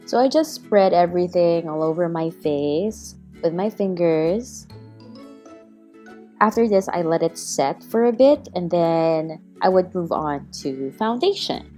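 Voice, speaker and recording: A woman's voice: fairly low pitch, lively intonation, unhurried, a little mellow. Chatty woman over background music. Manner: chatty, self-deprecating. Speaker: beauty vlogger. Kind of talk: casual makeup tutorial voice-over.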